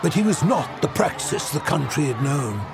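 Theatrical ancient warlock, sweeping pitch. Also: male voice, sing-song, creaky, music in the background, a crowd in the background. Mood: ominous. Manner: theatrical, portentous, deliberate. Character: ancient male warlock, grave mentor and storyteller. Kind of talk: dramatic audio drama storytelling.

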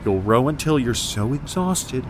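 Domineering rich father, middle-aged, male actor; mid-pitched, lecturing, contemptuous, audio fiction with light road noise behind. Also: lively intonation, unhurried, close to an everyday voice. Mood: cold, stern. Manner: cold, lecturing, commanding. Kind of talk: dramatic audio fiction dialogue. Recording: faint car and outdoor noise.

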